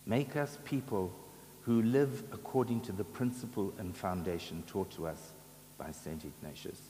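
An elderly man speaks as a priest, praying in a solemn, measured liturgical style. His voice is on the low side and rings through a chapel with long reverb and some background noise. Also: mellow. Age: 70s. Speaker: priest celebrating Mass. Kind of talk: liturgical prayer. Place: large brick chapel, at altar and lectern.

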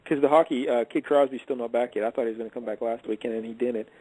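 A man hosting a casual radio chat show, briskly steering the talk with questions. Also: mid-range voice, fairly even intonation, warm tone, no room sound, poor audio. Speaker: host.

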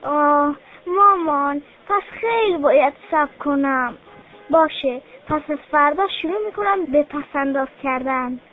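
A woman's voice: high-pitched, soft background music, close to an everyday voice. A woman voicing a sweet, kind little girl who pleads and speaks earnestly. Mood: pleading. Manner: eager, pleading child voice. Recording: tinny phone-like sound.

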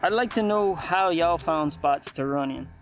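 A man's voice: fairly high pitch, moderate pace, faint music behind. A man from the United States, giving casual vlog commentary as a hobbyist. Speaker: hobby RC car vlogger.

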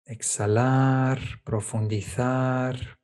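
A man leading a guided meditation, giving calm step-by-step instructions with small checking tags in a gentle manner. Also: mid-range voice, drawn-out words. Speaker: meditation teacher.

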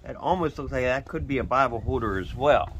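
A man's voice: mid-range voice, brisk, fairly bright tone, muffled recording, a little hiss or hum. A middle-aged man with a Western Pennsylvania accent, chatting folksily to viewers as he sorts through a storage unit. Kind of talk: casual unboxing commentary. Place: cluttered storage unit, indoors.

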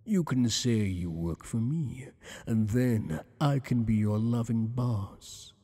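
A man playing a flirtatious, possessive tentacled alien boss in an ASMR roleplay, speaking in a teasing, courtly, deliberate way with long echoing reverb. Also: mid-range voice, lively intonation, mellow, husky. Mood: seductive, coaxing.

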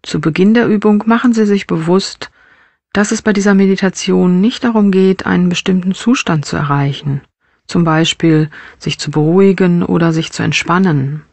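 A woman narrating a guided body scan meditation as a mindfulness teacher, with measured, gentle instructional phrasing. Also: on the low side, dry recording.

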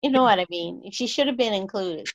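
A woman's voice: mid-range voice, a little fast, low-quality recording. A woman on a video call asking and arguing casually in a group, hesitant and repeating herself. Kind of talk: casual questioning in group discussion.

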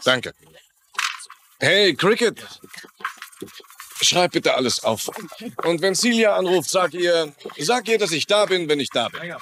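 A man's voice: high-pitched, animated, slow pace, long pauses, noisy background, full character voice. An actor playing a wry, grumbling, image-conscious man in a dubbed crime drama. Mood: brisk. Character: wisecracking, image-conscious man saddled with a dog.

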